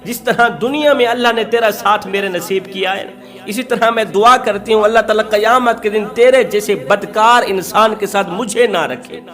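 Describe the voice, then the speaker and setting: A man's voice: high voice, normal speed, fairly bright tone, soft background music. A man voicing a pious, bitter wife in a sermon story, taunting with stacked insults and contempt. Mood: scornful.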